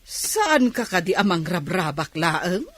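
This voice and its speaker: A woman playing a skeptical, gossipy middle-aged village auntie with a husky voice and Ilocano accent in a radio comedy drama. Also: lowish voice, big pitch swings, takes it slowly, a bit bright, a little hiss or hum, full character voice. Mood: skeptical, sneering.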